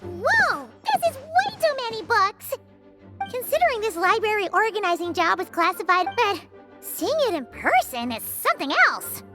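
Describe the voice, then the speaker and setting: A chirpy little fairy girl from a video game. The voice is childlike, squeaky and extremely high, with an excitable, very animated delivery over loud music. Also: normal speed. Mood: amazed. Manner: excitable, exclaiming.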